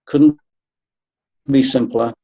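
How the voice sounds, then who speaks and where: A man's voice: mid-range voice, steady tone, slow, mellow. A man in his sixties, a guest on a webinar video call, talking earnestly and repeating points for emphasis. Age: 60s. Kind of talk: webinar conversation.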